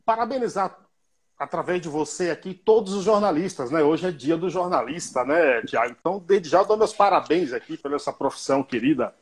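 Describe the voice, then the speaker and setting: Husky-voiced male interviewer, chatty. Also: a little high. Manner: chatty, jokey, short affirmations. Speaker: interviewer host. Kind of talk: casual interview conversation.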